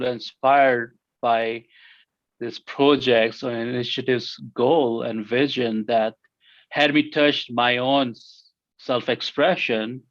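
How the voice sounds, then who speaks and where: Indian-accented man, halting interview answers. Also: mid-range voice, no echo, lo-fi sound. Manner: hesitant, many fillers and restarts. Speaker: interview guest.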